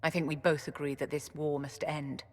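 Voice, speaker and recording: Low, stately senior mage. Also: female voice, flat delivery. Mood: grave, probing. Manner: stately, deliberate, formal. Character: grave, dignified senior mage, composed and probing. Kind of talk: video game voice acting. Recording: reverberant hall.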